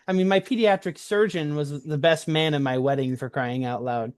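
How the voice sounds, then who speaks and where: A man hosts a casual interview in a fairly high voice. He speaks earnestly about his own experience, repeating words for emphasis and seeking agreement. The sound is close and dry, with almost no room. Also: normal speed, clear, resonant tone, no room sound. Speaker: podcast host who shares own experience.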